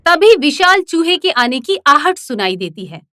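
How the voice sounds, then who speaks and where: A woman tells a scripted cartoon tale as its narrator. Her voice is high and bright, with animated rises and falls, over background music. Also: unhurried, dry recording. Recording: music under the voice.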